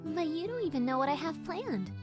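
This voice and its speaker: A woman's voice: sits high, sing-song, a little fast, a little mellow, soft background music. A woman voicing a sporty, teasing and openhearted young woman in a visual novel game. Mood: surprised, grateful.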